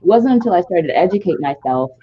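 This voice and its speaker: A woman with a notably low, deep voice tells her story in a casual podcast chat. She is earnest and reflective, letting long thoughts run on. The recording is dull and muffled. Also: animated, quick pace, a little hiss or hum. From Nigeria. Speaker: podcast co-host.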